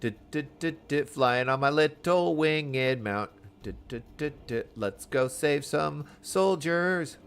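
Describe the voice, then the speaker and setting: A man's voice: a little high, takes it slowly, hardly any pauses, fairly bright tone, smooth. A man doing casual livestream game commentary, chatty and playful with sing-song asides. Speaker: game streamer.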